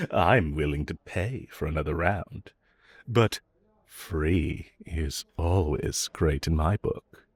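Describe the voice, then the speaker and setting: A man acts out a flirtatious male villain in a romantic audio roleplay, very low and husky, recorded close and dry. He teases and provokes his hero rival, taking his time with slow, drawn-out lines that swoop playfully. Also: no room sound, full character voice. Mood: smug, flirtatious. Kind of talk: romantic audio roleplay acting addressed to listener.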